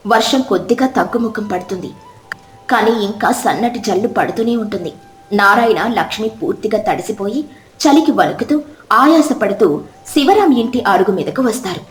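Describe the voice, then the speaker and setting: A woman's voice: mid-range voice, animated, normal speed, reverberant, slight background noise. A woman reading scripted, dramatic moral-story narration.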